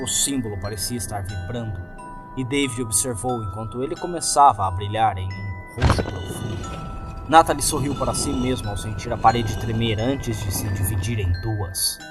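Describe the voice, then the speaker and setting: A man with a Brazilian accent, doing scripted narration as the host of a horror story podcast. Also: on the low side. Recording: music behind the voice.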